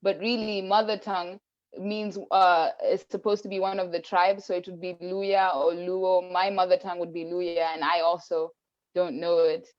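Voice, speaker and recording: A woman from Kenya hosting a casual online panel, reflective, with pauses as she thinks. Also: on the low side, flat delivery, a little mellow, lo-fi sound. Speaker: host.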